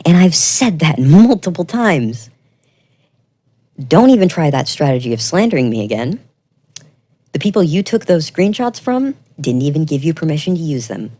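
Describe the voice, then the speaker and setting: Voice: medium pitch, very animated, normal speed, mellow. Emphatic commentator with a mid-range voice. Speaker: video creator, commentator. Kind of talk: commentary video, semi-scripted narration.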